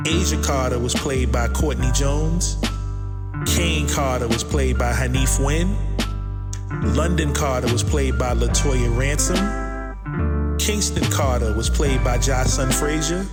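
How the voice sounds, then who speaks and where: A man reading scripted podcast credits as an announcer. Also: mid-range voice. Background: hip hop music.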